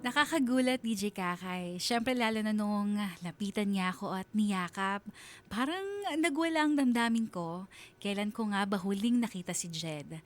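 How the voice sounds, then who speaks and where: A woman's voice: moderate pace, faint music behind, lightly acted. An actress with a Filipino accent playing a wistful woman of thirty confiding her love story as radio drama narration. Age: 30s. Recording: faint rumbling background noise.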